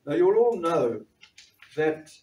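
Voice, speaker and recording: A man's voice: on the high side, big pitch swings, takes it slowly, a little mellow. A man in his sixties from South Africa with a South African accent, giving an earnest talk at a meeting and reading figures from notes with frequent restarts. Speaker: doctor and panel speaker. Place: bright meeting room, standing before a panel table. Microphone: far camera. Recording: echoey room from far off.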